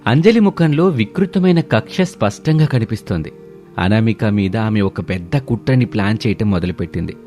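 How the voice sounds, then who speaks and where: A man's voice: on the low side, lively intonation, normal speed, dark tone, soft background music. A man reading a scripted family story as a dramatic narrator.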